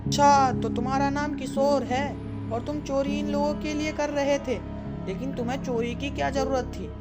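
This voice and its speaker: A woman's voice: high voice, steady tone, normal speed, over loud music, natural voice. A woman voicing a brave, curious village boy in a children's cartoon, earnest and kind. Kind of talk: children's cartoon story acting.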